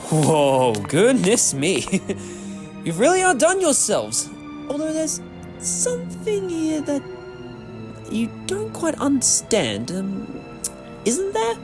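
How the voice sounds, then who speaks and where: An actor playing an eccentric, clever time-travelling adventurer in a fan audio drama, theatrical, with musing hesitations. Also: male voice, very high voice, wildly swooping pitch, slow pace, music in the background, heavily acted. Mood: musing, flustered.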